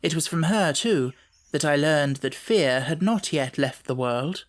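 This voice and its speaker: Man narrating a classic novel, measured delivery. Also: a little high, expressive. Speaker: audiobook narrator. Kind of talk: scripted literary first-person narration.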